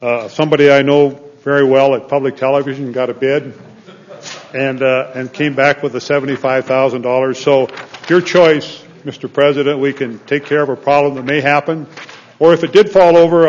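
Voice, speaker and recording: A man's voice: mid-range voice, a little hiss or hum. A man speaking as a senator on a legislative floor, earnest and plain-spoken, often restarting his phrases. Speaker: state senator. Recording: dull lo-fi chamber audio.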